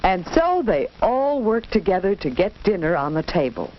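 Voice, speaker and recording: A woman tells a simple instructive story for children in the manner of a 1940s educational film. Her pitch moves in a very animated way. The old soundtrack is dull, with faint noise behind her. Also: moderate pace. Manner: simple, instructive, addresses the listener directly. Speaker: narrator.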